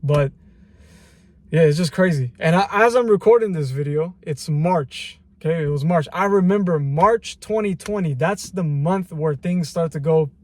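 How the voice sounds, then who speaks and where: Reflective young man, slightly high voice. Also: normal speed, no echo. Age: 20s. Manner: reflective, rambling, slangy with repeated phrases. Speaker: vlogger. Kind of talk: casual personal vlog monologue.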